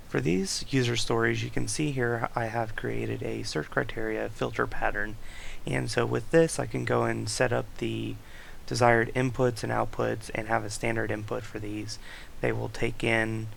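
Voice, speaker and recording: A young man, a student, talks through his software project over a screen recording, reading requirements aloud and explaining his code step by step with occasional fillers. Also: mid-range voice, gravelly, slight background noise, low-quality recording. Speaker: student presenter.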